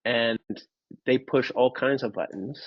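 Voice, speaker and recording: A man, telling his personal story in an interview, candid and halting, often repeating words. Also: medium pitch, no echo, highs cut off. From Finland. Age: 30s. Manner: candid, casually profane. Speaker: podcast guest.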